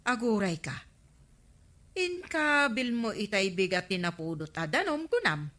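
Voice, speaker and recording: A woman's voice: medium pitch, very animated, a little slow, fairly bright tone. A woman playing a frank, nosy village friend in a radio comedy drama. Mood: chiding.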